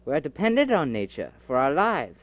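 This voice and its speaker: A man's voice: a little high, huge pitch swings, a little fast. A man in a home-made audio drama, debating philosophy earnestly and stumbling over repeated restarts. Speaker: amateur audio drama performer voicing several characters. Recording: faint tape hiss from an old cassette.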